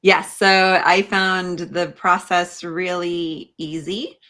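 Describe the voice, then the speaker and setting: A woman hosting a conversational livestream interview, halting and thinking aloud as she speaks. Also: fairly low pitch, flat delivery, bright, forceful tone. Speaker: podcast host.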